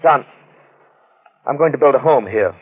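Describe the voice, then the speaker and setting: Actor as brooding recluse, muffled vintage radio. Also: male voice, mid-range voice, lively intonation, slight background noise, lightly acted. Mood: obsessed, resolute. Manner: halting, grave, intense. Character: Lawson, brooding obsessed wealthy recluse in the jungle. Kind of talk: old-time radio drama acting.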